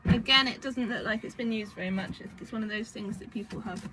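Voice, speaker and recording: A young woman, croaky from a cold, chatting casually at home about secondhand items she resells, with lots of fillers. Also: fairly high pitch, brisk. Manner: chatty, rambling with many fillers. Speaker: vintage reseller vlogger. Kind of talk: casual chat. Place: home indoors. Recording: home recording with clear background noise.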